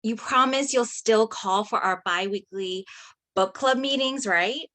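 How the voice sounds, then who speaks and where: A woman's voice: on the high side, unhurried, fairly bright tone. A woman at a script table read playing an earnest, emotional teenage best friend.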